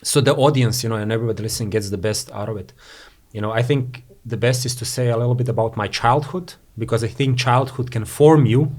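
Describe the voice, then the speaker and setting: A man's voice: medium pitch, fast, a little mellow. Rambling man from Croatia, says "you know". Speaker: podcast guest, entrepreneur. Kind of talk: casual interview chat.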